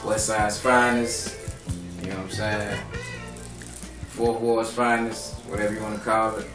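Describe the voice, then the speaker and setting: A man's voice: lowish voice, little pitch movement, a little slow. A man hosting a podcast in a casual, laid-back, slangy way with a lot of laughing. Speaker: podcast host. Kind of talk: casual chat. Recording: echoey room, distant mic, music behind.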